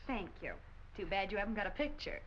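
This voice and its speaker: An actress plays a wisecracking American woman reporter in a 1940s mystery film. Her voice is sharp, fairly high and very animated. The soundtrack is dull and hissy. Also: quick pace, natural voice. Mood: brisk. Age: 20s. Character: plucky, wisecracking young woman newspaper reporter.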